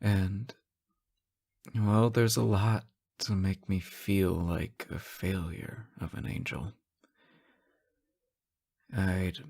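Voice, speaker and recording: A fallen angel acted by a man speaks in a fairly low voice at a very slow pace. He is anxious and devoted, halting and stammering as he pleads with the one he adores, in the manner of an emotional audio drama. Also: vocal fry, slightly rough, heavily acted. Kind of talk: emotional roleplay audio acting addressed to the listener.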